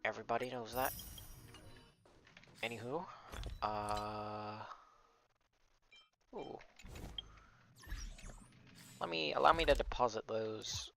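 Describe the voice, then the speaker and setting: A man with a creaky voice casually rambling in slang to viewers as he livestreams a game, chatting and commenting as he plays. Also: fairly low pitch, slow pace, long pauses, a bit bright. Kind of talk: casual stream chat and gameplay commentary. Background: game music.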